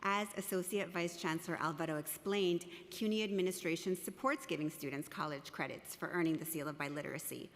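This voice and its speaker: Russian-born woman giving formal testimony. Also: on the low side. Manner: measured, formal reading. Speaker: professor testifying at a hearing. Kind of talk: prepared testimony. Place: council chamber. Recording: long reverb of a large hall.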